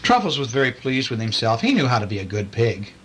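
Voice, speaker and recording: A man's voice: medium pitch, very animated, fast talker, fairly bright tone. A man, a father, reading a bedtime storybook to children with playful asides and acted-out touches. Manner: playful, acts out lines and sound effects. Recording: noisy amateur home recording.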